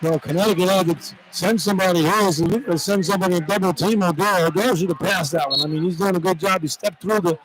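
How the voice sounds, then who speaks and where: A man with a high-pitched voice works as a radio basketball analyst. He is informal and plain-spoken, offering opinions in a conversational way. Clear arena noise sits behind him. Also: quick pace, few pauses. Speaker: radio colour commentator. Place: courtside in a college basketball arena. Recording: live arena broadcast with clear background noise. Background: noisy arena ambience.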